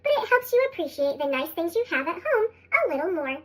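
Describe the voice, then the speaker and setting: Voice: very high voice, very animated, a little fast, fairly bright tone, heavily acted. A narrator voicing a kindly, encouraging mother llama in a storybook, through a sped-up chipmunk effect. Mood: kindly, reassuring.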